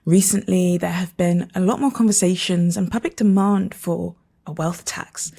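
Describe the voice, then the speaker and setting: A woman's voice: on the low side, a little mellow. A woman co-hosting a podcast, reading an introduction and then putting questions to a guest, hesitant when improvising. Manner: reads smoothly, hesitant when asking questions. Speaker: podcast co-host.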